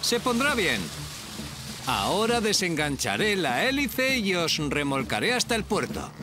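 A man's voice: sits high, very animated, a little slow, noisy background. A man voicing an eager, dependable firefighter hero in a dubbed children's cartoon, earnest and reassuring. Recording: loud cartoon music behind.

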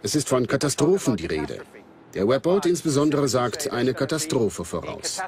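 A man's voice: mid-range voice, very animated, normal speed, a crowd in the background. A man explaining as an interviewed expert in a scripted documentary voice-over.

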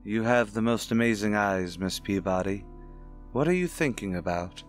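A man's voice: lowish voice, expressive, moderate pace, faint music behind, lightly acted. A man playing a courtly, cultured rich widower, formal and suave, in an old-time radio drama. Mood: intimate, admiring.

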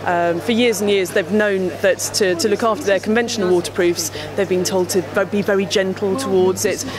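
A woman's voice: medium pitch, fairly even intonation, brisk. A woman in her thirties explaining products at a trade show stand, talking with many fillers and restarts into a handheld mic. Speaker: company representative. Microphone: handheld mic held by interviewer. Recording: crowd chatter behind.

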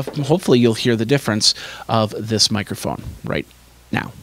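A man's voice: mid-range voice, a bit bright. A middle-aged man with a boisterous voice, speaking into a handheld mic in a small home studio and rambling casually with lots of restarts as he demonstrates gear. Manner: rambling, many restarts and fillers. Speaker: tech video host. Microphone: handheld dynamic mic held near the mouth.

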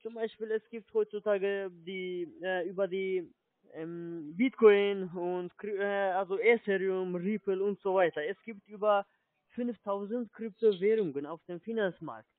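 A man with a foreign accent, not a native German speaker, giving a halting phone sales pitch and often checking he is followed. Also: dry recording. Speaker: telephone sales agent, scammer. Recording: muffled low-fidelity phone line.

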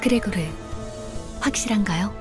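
A woman's voice: medium pitch, natural voice. A woman playing a cool, analytical strategist who speaks formally and matter-of-factly. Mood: questioning. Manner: formal, measured, matter-of-fact. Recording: music under voice.

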